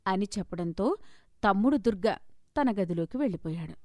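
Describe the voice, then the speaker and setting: A woman's voice: medium pitch, animated, fairly quick, mellow, dry recording. Woman storyteller, dry close sound. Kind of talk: scripted narration of a moral story.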